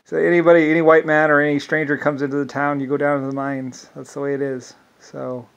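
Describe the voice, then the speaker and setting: A man's voice: fairly high pitch, level tone, fast, vocal fry, dull audio. A man telling a long, meandering solo monologue, restarting often and circling back with repeated asides.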